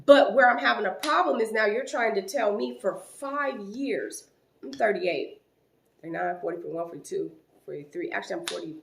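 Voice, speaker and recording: A woman in her late thirties telling a long personal story to her viewers in a casual, animated way. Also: medium pitch, normal speed, creaky, reverberant. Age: 30s. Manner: animated, rising loud when quoting an argument.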